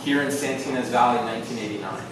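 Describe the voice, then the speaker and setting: A middle-aged man from Southern California, a winemaker telling his family story to guests at a tasting with relaxed fillers. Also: medium pitch, racing, crowd noise. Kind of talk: casual tasting talk to guests. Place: winery tasting room. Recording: echoey room from far off, background noise.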